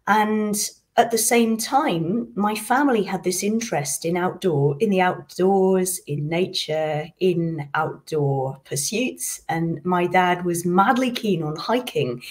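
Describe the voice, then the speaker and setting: A woman's voice: on the low side, a little slow, darkish tone. A woman from Britain, a poet, telling her story in a reflective way over a video call. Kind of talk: casual conversation.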